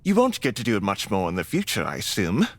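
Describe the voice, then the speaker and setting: A man's voice: lowish voice, wildly swooping pitch, fast, gravelly, heavily acted. A man playing a genteel, polite vampire mentor in an audio drama, with formal, courteous phrasing. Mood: courteous, dry.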